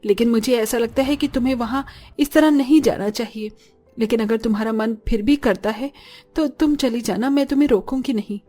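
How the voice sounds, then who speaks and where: A woman's voice: fairly high pitch, a little fast, dark tone, faint music behind, lightly acted. A woman playing a poor, patient mother and wife in a cartoon story, soothing and resigned yet encouraging. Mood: sad, tender.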